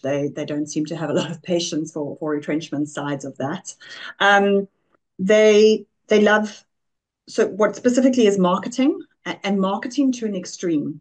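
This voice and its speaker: A middle-aged South African woman answering interview questions, briskly listing examples. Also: on the low side, a little mellow. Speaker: guest, offshoring business founder. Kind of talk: conversational interview.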